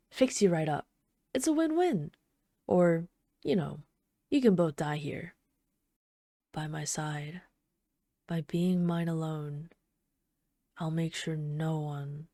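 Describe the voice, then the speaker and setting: Woman acting a mellow, obsessive yandere villainess. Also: lowish voice, no echo, clean studio sound, natural voice. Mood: possessive, intimate. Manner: doting, soft-spoken, possessive, taunting. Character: obsessive yandere female supervillain, sweetly menacing, adoring her captive. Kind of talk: ASMR roleplay.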